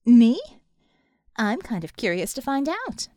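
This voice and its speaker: A woman's voice: on the high side, big pitch swings, measured pace, dark tone, dry recording, studio quality. A woman voicing a taunting, threatening female villain in an audiobook. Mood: mocking, sweet menace. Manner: mocking, threatening.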